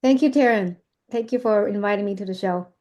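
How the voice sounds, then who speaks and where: A woman's voice: mid-range voice, a little fast, a little mellow. A woman in her thirties from China with a Chinese accent, a podcast guest talking casually and earnestly, with many fillers and restarts. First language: Zhuang dialect or Mandarin. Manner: laughs easily. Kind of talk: casual interview chat.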